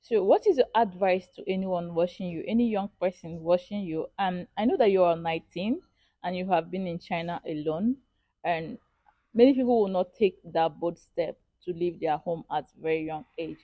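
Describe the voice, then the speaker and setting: A woman's voice: fairly low pitch, normal speed, no echo, lo-fi sound. A woman interviewing a student, asking friendly questions and paying compliments. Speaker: street interviewer.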